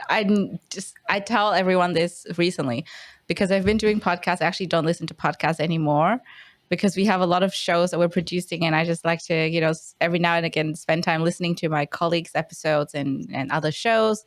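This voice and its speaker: Low-voiced woman hosting a podcast. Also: dry recording. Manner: friendly, affirming, measured. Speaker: podcast host. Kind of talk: casual interview conversation.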